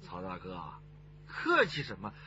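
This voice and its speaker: A man's voice: deep voice, wildly swooping pitch, a little slow, sharp tone, lo-fi sound, a little hiss or hum, heavily acted. An actor playing a slick, ingratiating small-time crook in a radio crime drama, bustling and repeating his words. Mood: coaxing.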